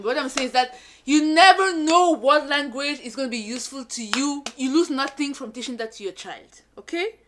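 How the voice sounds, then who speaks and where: A young woman from Cameroon, a first-time mom vlogging in a sassy, animated way, whose first language is French. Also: high-pitched, slight background noise. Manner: animated, sassy, switches to French with her toddler. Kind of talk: casual talk to camera.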